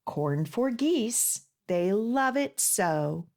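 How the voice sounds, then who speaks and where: A woman hosting a children's storytime as a librarian, reading aloud in a sing-song, deliberate way for young listeners. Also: medium pitch, big pitch swings, darkish tone.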